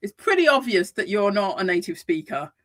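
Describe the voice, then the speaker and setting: A British-born woman talks through a relaxed interview, her voice swinging widely up and down as she speaks. She restarts sentences often and laughs easily, with a middle-pitched voice. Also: brisk. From United Kingdom. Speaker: guest, psychotherapist and life coach. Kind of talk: casual conversational interview. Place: Madrid, Spain.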